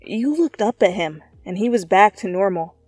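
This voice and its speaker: A woman reading fan fiction aloud as an expressive, theatrical second-person narration. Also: mid-range voice, moderate pace, no room sound. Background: music.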